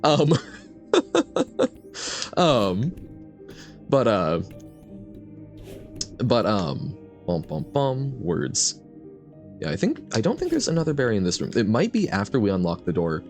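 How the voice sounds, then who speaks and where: A man's voice: mid-range voice, wildly swooping pitch, slow, creaky, long pauses, faint music behind. A man streaming a video game, talking casually to his chat in a rambling, reflective way and laughing often. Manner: reads and answers chat. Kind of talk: casual gameplay commentary.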